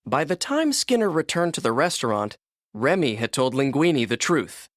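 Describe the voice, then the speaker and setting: A man narrating a children's read-along storybook in an expressive, scripted storytelling manner. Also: medium pitch, normal speed, dry recording, clean studio sound.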